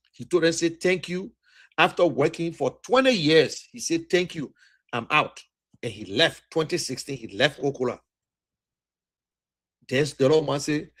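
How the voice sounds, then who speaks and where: A Liberian man speaks with a Liberian English accent in a husky, high-set voice. His pitch swings widely as he argues a political point, hammering phrases over and over with a preacher-like rhythm. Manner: emphatic, repetitive, preacher-like cadence. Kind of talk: impassioned political talk.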